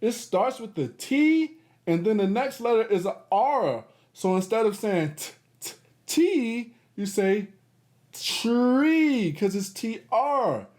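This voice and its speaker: Male kids' read-aloud host: very lively intonation, slow clear delivery for little ones, encouraging, chatty asides. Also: high voice. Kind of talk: animated read-aloud for young children.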